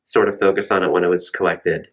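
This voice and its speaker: The recording is a little dull and muffled. A man with a deep, low-pitched voice co-hosts a book chat, giving recommendations in a casual, conversational style. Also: fairly even intonation, fast. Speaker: library podcast co-host.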